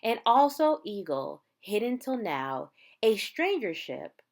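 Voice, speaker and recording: A woman reading a picture book aloud like a teacher, in measured, dramatic phrases with emphasized words. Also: mid-range voice, sing-song, a little mellow. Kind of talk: read-aloud storytelling.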